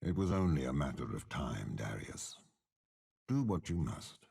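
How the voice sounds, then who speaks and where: An actor playing a commanding, cold, cunning older warlord with demonic power, speaking in slow, measured, eloquent game voice lines with a gravelly rasp. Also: male voice, very deep voice, very animated, a little mellow, full character voice. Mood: imperious, dry. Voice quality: gravelly, raspy. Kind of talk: dramatic game character voice lines.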